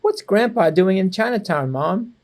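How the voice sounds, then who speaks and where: A man's voice: high voice, lively intonation, normal speed, darkish tone, pitched above the performer's own voice. A man reading the part of an eager, impatient young boy in a children's storybook. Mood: questioning.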